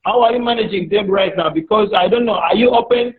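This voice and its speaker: Hesitant high-voiced man hosting a live chat. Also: fast, narrow, tinny audio. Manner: hesitant, many fillers and restarts; enthusiastic interjections. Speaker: host of a live online chat. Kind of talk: casual live-stream interview.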